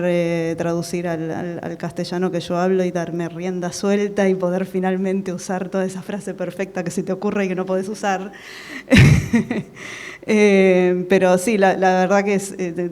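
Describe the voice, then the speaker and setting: A woman translator answers on a book-fair panel. Her voice is low and flat. She hesitates a lot and restarts often as she speaks. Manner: hesitant, many fillers and restarts. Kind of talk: panel discussion. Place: conference hall at a book fair.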